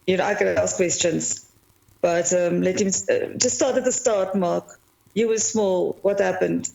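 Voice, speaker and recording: A woman's voice: fairly low pitch, a little slow, creaky, a little mellow, dull audio. A woman from South Africa with a South African accent, speaking earnestly and a little hesitantly as a guest co-host. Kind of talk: remote podcast interview.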